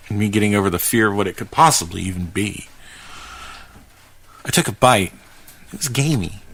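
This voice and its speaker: Male storyteller, mid-pitched, first-person horror narration, conversational and halting with dramatic pauses. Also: fairly bright tone, slightly rough. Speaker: podcast host reading a horror story.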